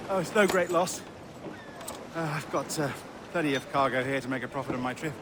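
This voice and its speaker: An actor playing a mild, polite gentleman merchant who speaks hesitantly, in a dramatic video game. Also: medium pitch, a little fast, background noise, lightly acted. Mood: mild, apologetic. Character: mild, timid gentleman merchant, dutiful husband and father.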